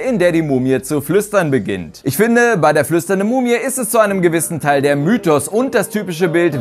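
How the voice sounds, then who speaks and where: A man in his thirties delivering scripted, articulate commentary as a video host. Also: fairly high pitch. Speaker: video host presenting a ranking. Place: small home studio room. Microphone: lapel mic. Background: eerie background music.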